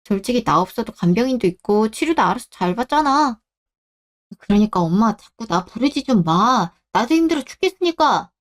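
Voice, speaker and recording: Radio drama: whiny self-pitying daughter, high and complaining, woman voicing. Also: animated, moderate pace, close to an everyday voice. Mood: petulant. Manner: complaining, petulant.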